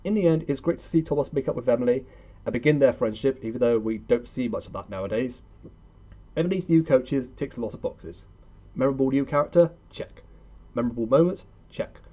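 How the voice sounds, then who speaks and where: A man's voice: medium pitch, warm tone, slightly rough, highs cut off. A young man narrating a scripted countdown review in an opinionated, conversational manner. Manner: opinionated, conversational, rhetorical questions. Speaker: video host and reviewer.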